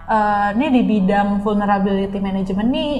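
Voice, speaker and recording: A woman hosting a casual interview, chatty and quick to chime in with agreement. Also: mid-range voice, darkish tone, lo-fi sound. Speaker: podcast host interviewing a guest. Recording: echoey room with long reverb.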